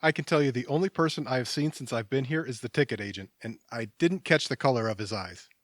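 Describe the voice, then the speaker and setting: An actor playing a wry but kindly stranger in an audio drama, conversational and dry-witted. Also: male voice, medium pitch, quick pace, no room sound, natural voice. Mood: reassuring, wry. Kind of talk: audio theatre acting.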